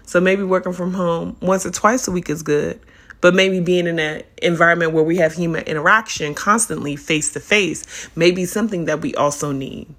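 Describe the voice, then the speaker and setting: A young adult woman hosting a casual solo podcast, her voice a little raspy as it recovers from illness, talking in an animated, playful and profane way. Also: lowish voice, moderate pace. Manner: animated, profane, playful, spiritual self-help talk. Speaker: podcast host.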